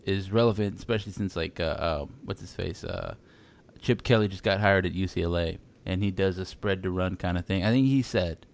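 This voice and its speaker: Rambling low-voiced man talking football. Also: gravelly. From Texas. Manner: rambling, many restarts and fillers. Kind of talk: casual sports chat.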